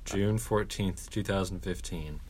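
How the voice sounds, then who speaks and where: Laid-back young podcast co-host, low voice, easygoing. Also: male voice, measured pace, darkish tone, a little hiss or hum. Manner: laid-back, reads out search results. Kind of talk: casual chat.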